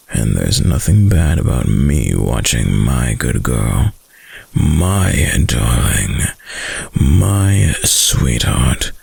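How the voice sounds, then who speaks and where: Man voicing a very deep, gravelly possessive wolf-boy lover. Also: a little mellow, no echo, full character voice. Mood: doting, watchful. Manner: slow, murmured, cooing and possessive. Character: possessive, doting young male wolf-boy lover, dominant. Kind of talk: intimate ASMR boyfriend roleplay. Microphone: close, dry microphone.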